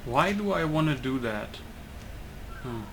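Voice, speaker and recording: Casting: male let's player, mid pitch, casual and hesitant self-talk, loud game background noise. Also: lively intonation, measured pace, gravelly. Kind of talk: casual gameplay commentary.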